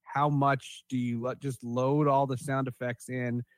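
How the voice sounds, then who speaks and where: A man's voice: medium pitch, flat delivery, no echo. Casual male podcast host, dry sound. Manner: halting, repeats words.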